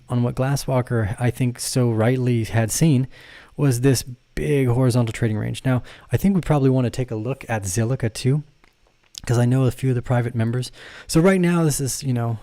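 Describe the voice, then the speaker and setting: A man's voice: medium pitch, steady tone, moderate pace, mellow, no room sound. Laid-back man rambling over trading charts. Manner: rambling, self-correcting, laughs often; addresses viewers and reads their comments. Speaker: crypto trading channel host. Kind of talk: casual livestream chart analysis.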